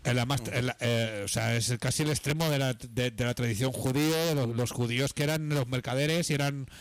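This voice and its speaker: Male co-host from Catalonia, mid pitch, dry studio, measured and agreeable. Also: fairly even intonation, dry recording. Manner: measured, agreeable, explains at length. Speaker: radio show co-host. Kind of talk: casual radio chat. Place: radio studio.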